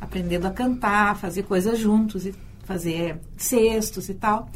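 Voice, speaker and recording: A woman's voice: mid-range voice, measured pace. A Brazilian woman, a university teacher and storyteller, answering in a radio interview, thoughtful and often repeating words as she thinks.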